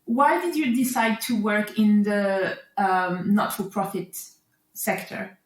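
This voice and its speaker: A woman hosting a podcast, giving a polished read-out introduction and then asking her guest questions in a clear, articulate way. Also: medium pitch, expressive, slow, reverberant. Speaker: podcast host. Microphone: remote recording.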